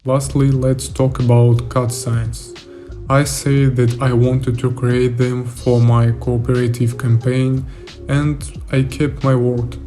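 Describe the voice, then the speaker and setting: A young man narrates a scripted video about his work as an indie developer. His delivery is very even and plain, with little rise or fall. The tone is dark and relaxed, and faint music plays behind him. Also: medium pitch, slow pace. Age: young adult.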